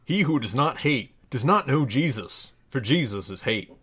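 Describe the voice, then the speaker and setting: A man's voice: mid-range voice, animated, normal speed, a bit bright, breathy edge. A man playing a theatrical, fervent Texan pastor with a drawl, preaching parody scripture.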